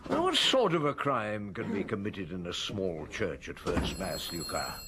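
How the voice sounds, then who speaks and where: Middle-aged police inspector, radio drama, lively intonation, measured wry musing, murmur of people behind. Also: male voice, low-pitched, a crowd in the background. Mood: dry, inquiring. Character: middle-aged French police chief inspector, reflective and dry. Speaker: police chief inspector and narrator of a radio play. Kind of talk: radio drama acting and narration.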